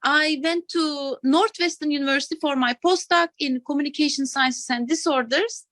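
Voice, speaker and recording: A woman from Turkey in her thirties talks freely as an interview guest. Her voice sits high. She checks in with "right?" as she goes, and the recording is dry and close. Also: normal speed, dry recording. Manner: chatty, adds "right?" and soft laughs. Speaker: assistant professor, guest. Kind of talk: casual interview talk.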